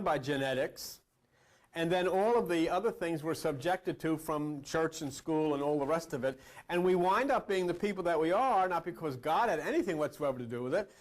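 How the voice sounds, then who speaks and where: High-pitched man preaching emphatically. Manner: emphatic, repeats phrases for effect, rhetorical questions. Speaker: spiritual teacher. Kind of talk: esoteric sermon lecture to an audience.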